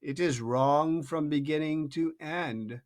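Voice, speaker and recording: A parent reading aloud, voicing a contemptuous, curt caterpillar in a slow, drawn-out way. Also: medium pitch. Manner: slow, drawn-out, clipped replies.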